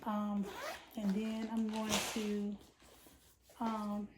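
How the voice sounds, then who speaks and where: A woman, chatting casually about her crochet work in a rambling, informal way while her voice is a bit hoarse. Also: medium pitch, extremely slow, background noise. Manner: relaxed, rambling, many ums, informal slang. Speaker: crochet vlogger. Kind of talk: casual chat while crafting.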